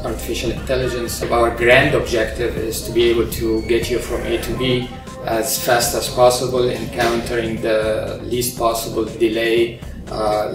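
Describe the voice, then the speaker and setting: Measured Egyptian-born man in a roomy hall. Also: mid-range voice. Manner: measured, explanatory, many ums and uhs. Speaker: engineering professor. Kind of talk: interview about his research. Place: large open-plan control center. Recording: roomy, distant sound with music under it.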